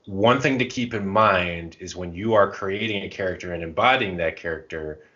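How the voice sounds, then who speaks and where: Very deep-voiced man, casual podcast co-host. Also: moderate pace, pushed and bright. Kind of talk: casual conversational advice and anecdotes. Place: podcast recording. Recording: dull, muffled lo-fi sound.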